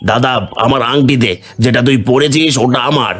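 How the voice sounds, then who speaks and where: A man's voice: medium pitch, steady tone, moderate pace, a bit bright, lightly acted. A man playing a greedy, suspicious younger brother, a ruined village trader, in a cartoon fairy tale. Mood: agitated, demanding.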